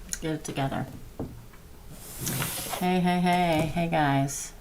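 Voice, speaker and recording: Hoarse woman host, unhurried, soft-spoken, lower voice, faint noise. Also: fairly even intonation. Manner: soft-spoken, slow and chatty. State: hoarse, losing her voice. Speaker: tarot reader hosting a livestream. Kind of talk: casual livestream chat.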